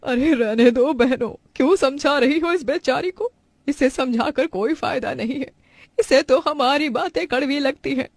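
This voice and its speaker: Woman acting disapproving Indian mother-in-law, higher-pitched, complaining, theatrical exclamations, cartoon drama. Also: a little slow, dry recording. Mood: sarcastic. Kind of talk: Hindi cartoon family drama dubbing.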